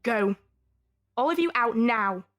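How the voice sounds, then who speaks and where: A woman's voice: a little high, lively intonation, slow, fairly bright tone. A woman playing a cold, punitive factory forewoman with a strict, formal, clipped manner in an audio drama. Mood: threatening.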